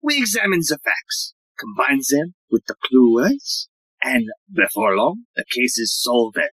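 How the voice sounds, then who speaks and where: Low, husky, pompous inspector played by a woman. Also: very animated, a bit bright, dry recording, exaggerated voice, rough recording. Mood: lecturing. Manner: pompous, lecturing, dramatic pauses. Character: pompous, self-important police inspector, theatrical detective. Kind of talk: theatrical audio play acting.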